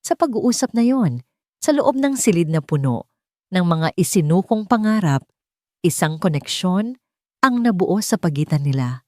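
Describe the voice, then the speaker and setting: A woman's voice: lowish voice, very animated, moderate pace, no echo, clean studio sound. A woman storyteller reading scripted narration for a romantic drama audio story.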